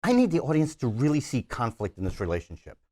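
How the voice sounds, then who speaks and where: A man's voice: medium pitch, huge pitch swings, fast talker, darkish tone. A man playing a bubbly, exasperated film director in his sixties, a demanding perfectionist, in a comedy screenplay table read. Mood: bossy.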